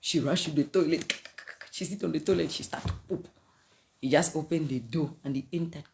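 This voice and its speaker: A Zambian woman in her thirties speaks straight into a phone camera from a small indoor room. She talks in an animated, preachy way, breaking into laughter and slipping in pidgin phrases as she goes. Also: low-pitched, fairly quick, creaky, a little hiss or hum. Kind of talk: casual preachy monologue to camera. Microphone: phone camera at arm's length.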